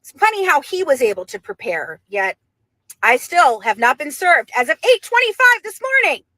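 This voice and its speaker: A woman's voice: sits high, big pitch swings, normal speed, pushed and bright, no echo, rough recording. A woman hosting an online update for her followers, speaking in an emphatic, dramatic way. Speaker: online show host. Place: outdoors by a courthouse.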